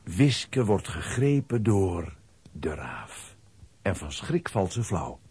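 A man's voice: sits low, sing-song, slow, very noisy. A man narrating a children's audio drama in a scripted storytelling style.

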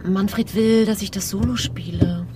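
An actress playing a torn married musician and mother in a radio drama, speaking in terse, hushed fragments of thought. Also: medium pitch, fairly even intonation, a little slow, music in the background, close to an everyday voice. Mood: pleading, agitated. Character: married female orchestra musician in secret affair, torn mother. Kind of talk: radio drama inner monologue and dialogue.

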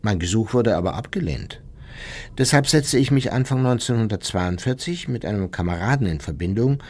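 An ageing former war officer in a radio documentary monologue. The voice is fairly low and husky, moving animatedly up and down. He is blunt and self-justifying, without any remorse. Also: expressive, moderate pace, darkish tone. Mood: matter-of-fact.

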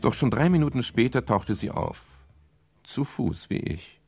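An actor playing a pensive, matter-of-fact private detective in a German radio crime drama, speaking in a measured, sober way. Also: male voice, medium pitch, lively intonation, gravelly, a little mellow, husky, no room sound, soft background music, full character voice. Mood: sober, tense. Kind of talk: scripted first-person radio crime narration.

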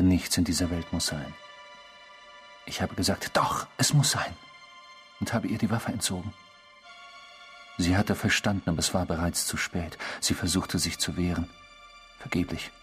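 An actor in a German radio drama playing a self-satisfied, cynical killer who talks in a mocking, theatrical way. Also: male voice. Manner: mocking, theatrical, swinging from hushed to shrill.

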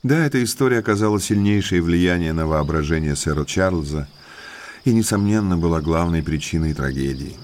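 Country gentleman naturalist, low male voice, polite, talkative, insinuating, audio drama, faint noise behind. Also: animated, brisk. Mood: confiding. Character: affable, inquisitive country naturalist gentleman, thirties, slyly probing.

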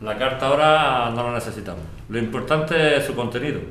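Un actor con acento castellano que interpreta al moderador tranquilo y ordenado de una reunión de vecinos, en una ficción sonora. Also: male voice, medium pitch, lively intonation, brisk, a bit bright, close to an everyday voice. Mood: calm, moderating. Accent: Castilian Spanish. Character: Javier, calm orderly chairman of neighbours' meeting. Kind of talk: scripted audio drama. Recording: echoey room, background noise.

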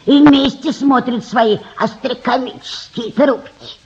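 An actress playing an old, plain-spoken housemaid with a folksy, grumbling manner in a radio play. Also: female voice, fairly high pitch, measured pace, a little mellow, slight background noise. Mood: grumbling, homely. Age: older. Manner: folksy, garbles learned words. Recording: dull radio drama recording.

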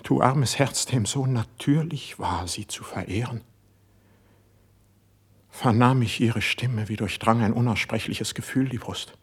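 An actor playing an impassioned, suspicious young court poet in a classical verse radio drama, with a husky, declamatory delivery. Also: male voice, medium pitch, unhurried. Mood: anguished, brooding. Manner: declamatory, intense stage delivery.

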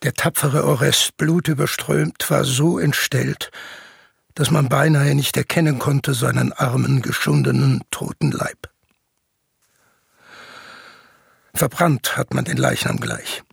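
An old messenger in a Greek tragedy radio play, his voice heavily creaky and very husky. He recounts grave news with solemn deliberation in classical verse, recorded close and dry. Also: medium pitch, animated, unhurried, no echo, heavily acted. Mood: grave, horrified.

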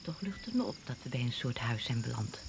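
An actor playing a genteel, earnest traveller musing aloud in a radio drama monologue. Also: male voice, mid-range voice, big pitch swings, fairly quick, vocal fry. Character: prim, chatty, self-absorbed traveller musing aloud. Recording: old noisy radio play recording.

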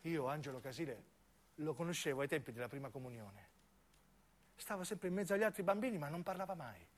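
A southern Italian man narrates as a stage actor would. His voice is high for a man. The delivery is a theatrical storytelling monologue. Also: expressive, quick pace.

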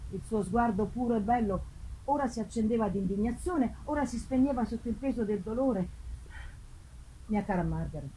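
A woman reading a gothic novel aloud as solemn, theatrical narration, with deliberate pauses, at a live performance. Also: on the high side, expressive, mellow. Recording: clearly noisy live reading with ambience.

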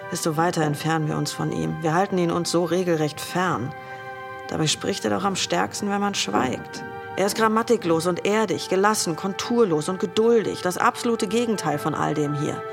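An actress playing an earnest, disillusioned idealist in a reflective radio play monologue. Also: female voice, fairly low pitch, lightly acted.

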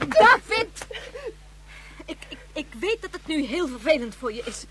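A woman's voice: high-pitched, sing-song, unhurried, fairly bright tone, a little hiss or hum, full character voice. A woman acting a firm, earnest, protective mother figure in a radio play. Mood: alarmed, scolding.

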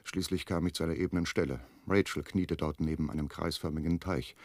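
A man's voice: rumbling low, steady tone, fairly quick, darkish tone, dry recording, clean studio sound. An actor playing an anxious, hesitant man who narrates his own crime story in a German radio play. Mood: measured, reflective.

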